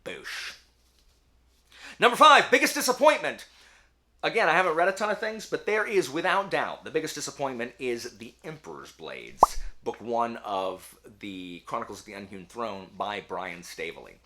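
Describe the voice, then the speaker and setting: A man talking casually to camera as a book channel host, emphatic and animated, with a self-deprecating way of speaking. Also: mid-range voice, moderate pace, slight background noise. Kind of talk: casual talk to camera, answering book tag questions.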